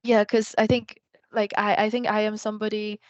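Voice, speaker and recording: A young woman chats casually as a podcast guest. Her speech rambles with lots of "like" and "um" fillers at a middle pitch. The recording is dull and dry, with no room sound. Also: little pitch movement, moderate pace, no echo. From China. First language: Mandarin. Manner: rambling, heavy use of "like" and "um".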